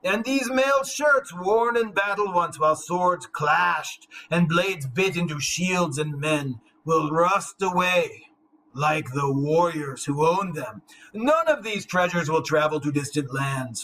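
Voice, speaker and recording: Man reading as a slow, mournful last survivor. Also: on the high side, animated, bright, forceful tone, a little hiss or hum, exaggerated voice, poor audio. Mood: solemn grief. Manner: slow, solemn, elegiac. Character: mournful last survivor of a dead noble race. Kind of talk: dramatic epic poetry reading.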